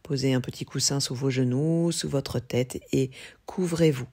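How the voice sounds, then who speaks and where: A woman yoga teacher leading a guided relaxation, speaking calmly and in a measured way with long pauses. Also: rumbling low, slightly rough. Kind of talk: guided yoga nidra meditation.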